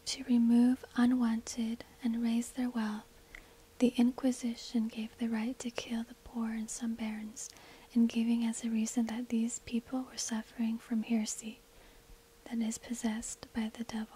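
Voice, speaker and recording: A woman delivers ASMR narration in a very breathy, unvoiced whisper. Her delivery is slow and hushed, with almost no melodic movement, spoken close into a binaural microphone. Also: fairly high pitch, monotone, low-quality recording. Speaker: ASMR video host and shopkeeper.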